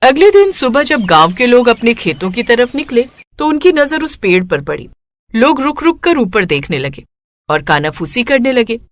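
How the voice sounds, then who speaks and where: A woman's voice: a little high, very animated, normal speed. A woman narrating a scripted moral story as a storyteller.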